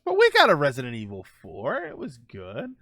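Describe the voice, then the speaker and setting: A man's voice: mid-range voice, wildly swooping pitch, moderate pace, sharp tone, dry recording. A man chatting casually as host of a live stream, rambling and joking as he answers viewers.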